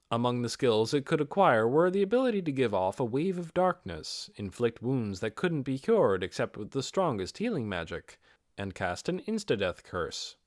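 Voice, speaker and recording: A man narrates a fantasy story with a very lively, swinging intonation. His voice sits at a middle pitch, and the recording is dry, with hardly any room sound. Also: no room sound. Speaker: amateur audiobook reader.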